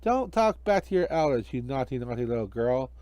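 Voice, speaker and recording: Storybook villain, played by a man: blustering, greedy magician, theatrical scolding, raised animated pitch, dry sound. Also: a little fast, no echo, dull audio, lightly acted. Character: vain, grouchy, greedy bad male stage magician.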